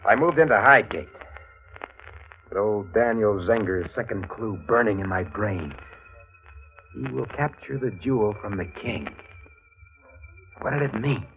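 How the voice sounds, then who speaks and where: A muffled old radio broadcast with faint music behind it. a hardboiled, scheming man with a low voice, delivering terse, slangy noir lines in 1940s style. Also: lively intonation, a little slow, gravelly, long pauses. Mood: brooding. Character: hardboiled, scheming male drifter narrating his crime.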